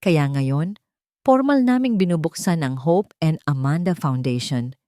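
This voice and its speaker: A woman's voice: sits low, animated, measured pace, dark tone, no echo, studio quality. A woman voicing a grateful young mother who speaks firmly and sincerely, in a storybook reading. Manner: firm, sincere public speaking.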